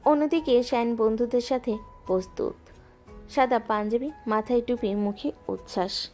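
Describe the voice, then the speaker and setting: A woman narrating a romantic audio story in a smooth, even storytelling voice. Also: on the high side, moderate pace. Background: soft background music.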